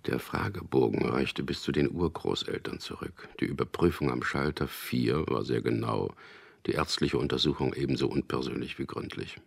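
An actor playing a dry, guarded middle-aged official who narrates a radio drama in an understated, gravelly way. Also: male voice, very deep voice, full character voice. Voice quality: gravelly, husky. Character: Peter, dry, guarded propaganda official about to marry. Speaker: narrator and lead character.

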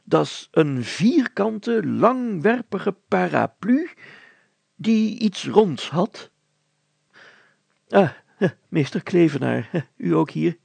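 A man's voice: high voice, very animated, slow, creaky, a little mellow, slightly rough, dry recording, pitched above the performer's own voice, exaggerated voice. A man voicing a flustered, pompous mayor in a children's story, hesitant, formal and full of hemming. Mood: fussy, deliberating.

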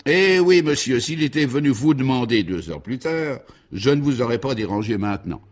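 A man's voice: mid-range voice, expressive, moderate pace, lightly acted. A man voicing a deferential, wordy male hotel valet in a comic radio serial. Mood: polite, hesitant. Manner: deferential, long-winded, circling phrases.

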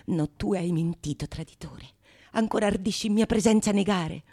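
A woman's voice: normal speed, warm tone, breathy edge. A woman playing a sly, bold male painter in a theatrical Renaissance comedy, declaiming righteous accusations. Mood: threatening. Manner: low, menacing, declamatory.

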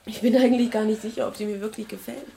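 Highish, reluctant young daughter amid clatter. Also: female voice, fairly even intonation, racing, warm tone, slight background noise. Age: young adult. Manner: reluctant, evasive, mildly defiant. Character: hesitant, stubborn college-age daughter. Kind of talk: radio play acting. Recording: clear clatter of dishes and cutlery.

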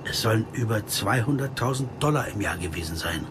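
An actor playing an insistent, searching amateur sleuth in a German radio crime drama, who also narrates. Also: male voice, lowish voice, expressive, a little fast, background noise, close to an everyday voice. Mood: pondering. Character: amateur sleuth Evan Horn, American man, insistent, searching. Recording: music behind.

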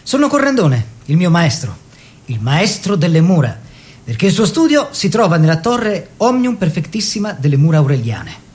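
An actor playing an eager young bourgeois painter in a theatrical monologue, expressive and savouring short phrases. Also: male voice, a little high, moderate pace. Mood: wondering.

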